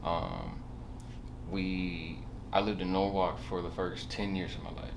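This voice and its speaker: A young man talks in a low voice. He rambles casually, hesitating and leaning on slang, like a guest telling his own story. The recording has clear background noise. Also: very animated, a little slow. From Southern California, USA. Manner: slangy, rambling, hesitant, punctuated with bro and you know. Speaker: podcast guest, barber.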